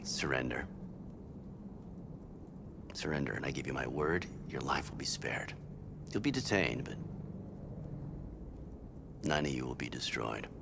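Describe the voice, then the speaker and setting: An extremely deep, creaky voice plays a hard government agent in a video game. He bargains in a measured, coldly persuasive way, with swings from low mutter to raised call. A rumbling noise sits behind him. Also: expressive, full character voice. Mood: cold, threatening. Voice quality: gravelly, very deep. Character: hard, menacing male government agent negotiating surrender. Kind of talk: cinematic video game acting.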